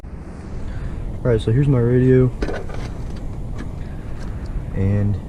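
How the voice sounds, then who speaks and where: A man casually walking through a do-it-yourself wiring job, relaxed and rambling with plenty of fillers. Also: mid-range voice, drawn-out words, narrow, tinny audio, noisy background. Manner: laid-back, rambling, filler-heavy explaining. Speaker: DIY video host. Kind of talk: casual how-to walkthrough. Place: inside a pickup truck and around it, at night.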